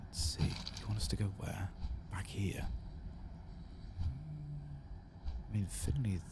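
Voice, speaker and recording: A man narrating a game playthrough in hushed, breathy ASMR style, murmuring in an unhurried way. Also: mid-range voice, background noise. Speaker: ASMR gaming host. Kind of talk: soft-spoken whispered ASMR game playthrough.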